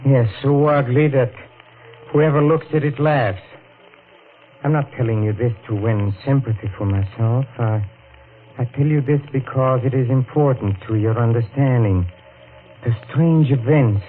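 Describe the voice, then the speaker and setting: Man playing an earnest, halting accused comedian, tinny radio. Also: mid-range voice, natural voice. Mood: grave pleading. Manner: deliberate, halting, self-pitying. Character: ugly male comedian, earnestly pleading murder defendant. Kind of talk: 1940s radio drama acting. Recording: tinny old radio, faint music.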